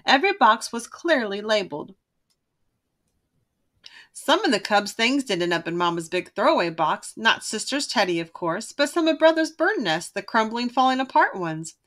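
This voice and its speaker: A woman with a bright, fairly high voice reads a children's storybook. Her delivery is expressive and emphatic, with very lively pitch. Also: moderate pace. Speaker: read-aloud narrator.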